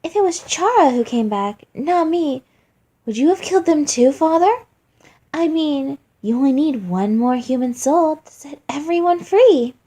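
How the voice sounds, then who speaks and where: A woman's voice: high-pitched, animated, unhurried, warm tone, pitched above the performer's own voice. A woman voicing a cruel young male flower monster, falsely sweet and mocking, in a storybook reading. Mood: coldly curious. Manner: sing-song, falsely innocent, taunting.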